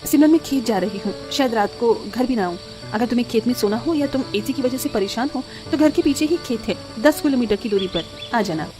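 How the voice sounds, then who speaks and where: A woman as a high-voiced, patient village sister-in-law. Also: animated, fairly quick, music in the background, lightly acted. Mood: cheerful, calm. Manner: gentle, sensible, helpful.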